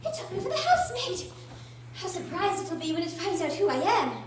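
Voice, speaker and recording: A woman playing a curious, polite young girl in a radio drama, earnest and expressive. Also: extremely high pitch, brisk, a little hiss or hum. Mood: wistful, musing. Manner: earnest, expressive, talking to herself. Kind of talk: theatrical radio drama acting. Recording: echoey room from far off.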